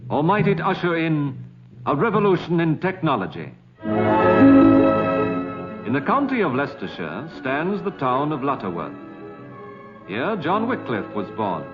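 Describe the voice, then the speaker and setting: High-pitched British man narrating vintage newsreel-style film. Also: very animated, a little slow, long pauses. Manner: formal, literary, dramatic. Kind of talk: scripted 1950s documentary narration. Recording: dull vintage film soundtrack with music.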